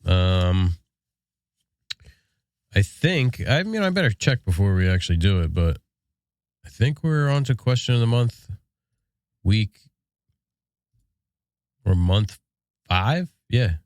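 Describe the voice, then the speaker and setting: Low-pitched congested American man. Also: sing-song, slow pace, pauses often, dry recording, studio quality. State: congested with a sinus infection. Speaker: podcast co-host. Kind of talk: casual shop-talk podcast chat.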